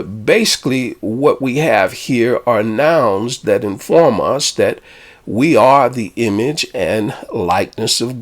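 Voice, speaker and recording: A man, a spiritual teacher and author, reflecting on his own book in a measured, deliberate way with hesitant fillers. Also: mid-range voice.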